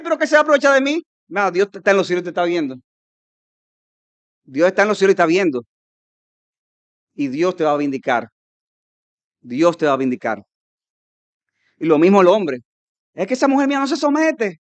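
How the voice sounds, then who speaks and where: A man with a Dominican Spanish accent preaching a conference sermon, emphatic and rising to loud peaks, mimicking his listeners' objections. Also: high-pitched, no echo. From Dominican Republic. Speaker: pastor.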